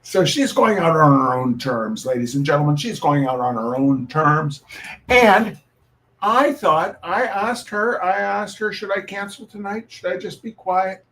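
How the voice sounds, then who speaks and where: A man hosting a reading night, telling personal stories informally in a wry, deliberate way with pauses and repeated phrases. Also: high voice, animated, a bit bright, rough recording. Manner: deliberate, halting, with dramatic pauses and repetition. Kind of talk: informal spoken introduction with personal storytelling.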